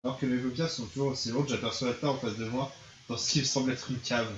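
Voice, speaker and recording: A man's voice: medium pitch, steady tone, fast talker. A man reading a visual novel aloud in an informal, slangy, dramatized way. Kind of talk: dramatized narration, casual and improvised. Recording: muffled, echoey room with noise.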